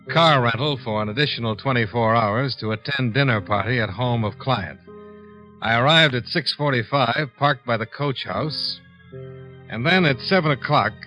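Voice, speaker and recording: Old radio detective, brisk questions and case narration, average pitch, dull lo-fi. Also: male voice, sharp tone. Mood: matter-of-fact. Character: brisk, probing male insurance investigator narrating his case. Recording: dull lo-fi 1950s radio recording.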